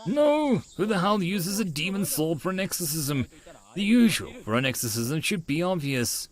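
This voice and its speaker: Man acting a melodramatic, high-pitched young mad scientist. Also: sing-song, moderate pace. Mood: exasperated. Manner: bossy, melodramatic. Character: theatrical, self-important, delusional young mad scientist. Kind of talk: anime visual novel character reading.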